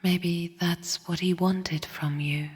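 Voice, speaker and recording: A somber, bitter game spirit, speaks in a very breathy, hushed half-whisper. Her low voice moves slowly and cryptically, trailing into long cavernous reverb. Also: fairly even intonation. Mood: warning. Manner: slow, solemn, cryptic. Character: somber, bitter sister spirit of the void, guarding her chambers. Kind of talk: video game character voice lines.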